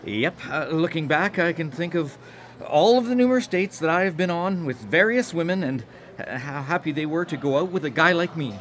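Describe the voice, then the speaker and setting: A man's voice: fairly high pitch, expressive, a little fast, fairly bright tone. An actor in a radio drama playing a shy, earnest man of faith, hesitant and awkward on a first date. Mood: flustered, sheepish.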